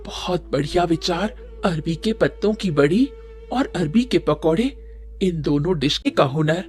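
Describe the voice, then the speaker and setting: A woman's voice: lowish voice, measured pace, a little mellow, close to an everyday voice. A woman playing a helpful, determined village cook in a Hindi cartoon story, earnest and encouraging. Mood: eager. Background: background music.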